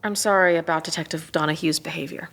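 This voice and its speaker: A woman playing an earnest, empathetic police detective at a drama table read, measured and sometimes hesitant. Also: sits low, steady tone, vocal fry, a bit bright, heavily acted. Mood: calm, probing.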